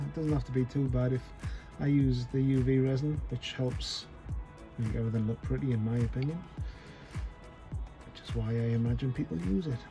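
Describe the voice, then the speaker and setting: A chatty man talks through a fly tying tutorial at his desk, joking at his own expense and thinking aloud as he goes. His voice sits at a middling pitch. Music plays clearly underneath. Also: low-quality recording. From North East England. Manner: chatty, self-deprecating, thinks aloud while working. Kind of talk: casual off-the-cuff tutorial.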